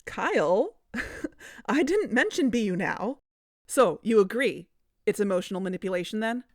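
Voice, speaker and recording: A woman's voice: mid-range voice, lively intonation, moderate pace, dry recording, clean studio sound. A woman acting as a skeptical, stubborn questioner in an audio drama, with a pointed, incredulous manner. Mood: challenging. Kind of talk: scripted audio fiction dialogue.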